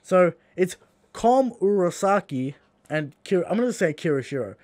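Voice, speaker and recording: A man's voice: high-pitched, sing-song, normal speed, darkish tone, dry recording. A man with an Australian accent, co-hosting a podcast in casual chat, blunt and swearing freely as he rants. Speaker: podcast co-host.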